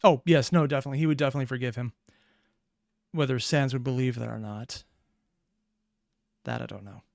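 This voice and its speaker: A man's voice: medium pitch, steady tone, fairly quick, vocal fry, no echo, studio quality. A man giving casual, off-the-cuff reaction commentary as a video host, with wry, analytical asides.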